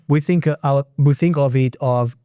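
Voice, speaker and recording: A man's voice: mid-range voice, moderate pace, warm tone, clear, resonant tone, no echo, clean studio sound. A man from Israel with an Israeli accent, a native Hebrew speaker, explaining lending as a podcast guest with frequent restarts. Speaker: private real estate lender, guest. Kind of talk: casual podcast interview.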